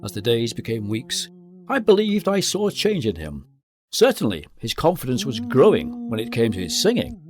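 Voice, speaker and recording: An actor playing a grave gentleman narrator of a gothic tale, reading in a formal, literary, dramatic style. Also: mid-range voice, wildly swooping pitch, normal speed. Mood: grave, resolute.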